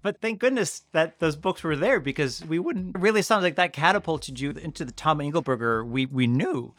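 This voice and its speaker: A man's voice: fairly high pitch, animated, brisk, a bit bright, no echo. A man hosting a podcast in a casual, conversational interviewing style.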